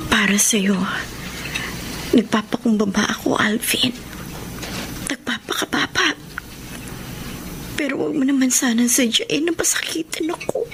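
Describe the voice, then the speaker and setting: A woman's voice: fairly high pitch, unhurried, vocal fry, pauses often, music in the background. A Filipino woman acting in a radio drama as a hurt, moody young girlfriend and daughter. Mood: sorrowful.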